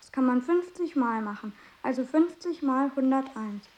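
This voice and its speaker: A woman voicing an eight-year-old boy genius who answers in a calm, plain, matter-of-fact way in a radio play. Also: sits high, moderate pace, highs cut off.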